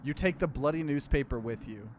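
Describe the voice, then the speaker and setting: A man's voice: mid-range voice, brisk, noisy background. A man hosting a let's play, casually commenting and reading game text aloud with expression.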